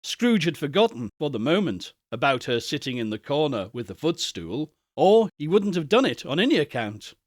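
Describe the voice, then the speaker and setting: A man reads a classic story aloud as narrator, with expressive literary delivery. His pitch is slightly high and moves around a great deal, and the recording is close and dry. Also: normal speed, few pauses, no room sound.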